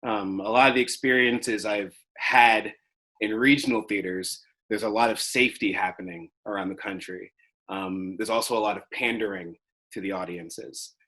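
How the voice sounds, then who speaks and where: A young man with a low but forceful, bright voice talks in an interview. He answers reflectively, as a student describing his own craft. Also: steady tone, normal speed, poor audio. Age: young adult. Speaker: acting student.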